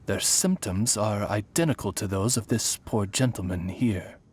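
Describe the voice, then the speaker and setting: A man's voice: on the low side, moderate pace, breathy edge. A man voicing a dignified, loyal raven familiar in a video game, formal and eloquent like a servant. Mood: courteous, dignified. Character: courtly male raven familiar, dignified, loyal butler temper. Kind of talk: game character acting.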